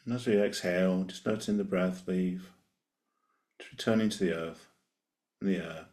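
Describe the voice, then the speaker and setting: A man leading a guided meditation, giving gentle, unhurried invitations with long pauses between them. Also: deep voice.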